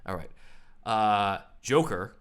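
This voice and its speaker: A man's voice: fairly low pitch, expressive, slow pace, clear, resonant tone. A man hosting a casual podcast, explaining comic-book trivia with lots of fillers. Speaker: podcast host.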